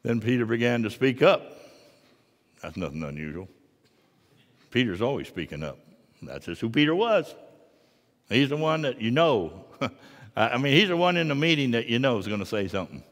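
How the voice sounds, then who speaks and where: A middle-aged man preaching a sermon in a large church sanctuary, moving from quiet asides to loud, fervent passages. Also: medium pitch, sing-song, moderate pace, long pauses, in a large hall. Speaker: pastor.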